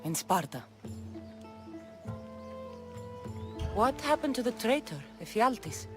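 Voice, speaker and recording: Young Greek woman warrior, blunt, skeptical, determined, animated intonation, video game drama, music behind. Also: fairly high pitch, normal speed. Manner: direct, wry, questioning.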